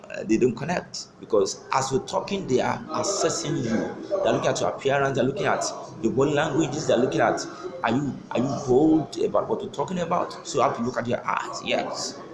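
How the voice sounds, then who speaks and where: A man, giving an earnest, emphatic sales training talk from an office, repeating his key points. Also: medium pitch. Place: office with wallpaper, seated in leather chair. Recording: roomy office with noise.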